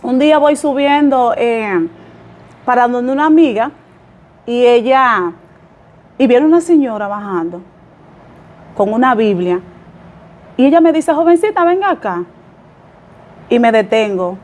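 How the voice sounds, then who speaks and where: A woman's voice: fairly high pitch, expressive, measured pace, pauses often. A woman pastor from the Dominican Republic, speaking with a Dominican accent as she tells her life story in a podcast interview, humble and chatty with small laughs. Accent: Dominican Spanish. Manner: warm, humble storytelling with light laughs and fillers. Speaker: pastor and guest.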